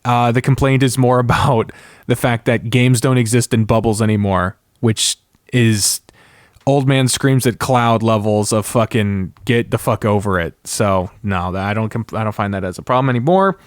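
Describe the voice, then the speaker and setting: A man's voice: mid-range voice, moderate pace, no room sound. Casual, rambling male podcaster, dry close sound. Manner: rambling, thinking aloud; casual swearing. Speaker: podcast host. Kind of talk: casual solo podcast commentary on games.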